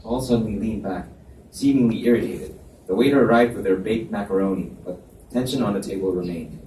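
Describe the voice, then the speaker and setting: A man's voice: lowish voice, fairly quick, mellow. A man narrating a scripted romance story at a live reading. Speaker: reader, narrator. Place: live reading in an echoey indoor room. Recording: echoey room, distant mic, background noise.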